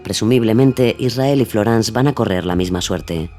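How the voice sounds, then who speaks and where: Low-voiced male documentary narrator. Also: normal speed. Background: soft music.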